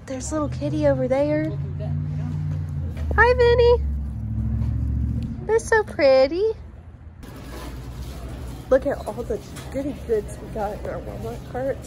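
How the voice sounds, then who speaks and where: A young woman in college chatting casually to her phone camera in a relaxed vlog, upbeat and full of fillers. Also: high-pitched, takes it slowly, pauses often, noisy background. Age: young adult. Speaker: college student vlogger. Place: dorm room and outdoors. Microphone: phone held at arm's length.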